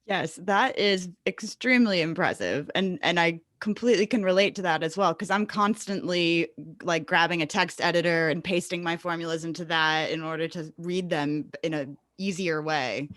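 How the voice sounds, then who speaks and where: A woman's voice: fairly low pitch, moderate pace, a bit bright, dry recording, studio quality. A woman hosting a casual podcast interview, encouraging and effusive toward her guest. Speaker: podcast host.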